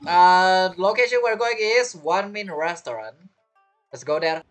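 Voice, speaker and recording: A woman streamer with comments casually over gameplay. Her voice is lowish but varies a lot as she muses aloud haltingly, with faint game music behind her. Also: lively intonation.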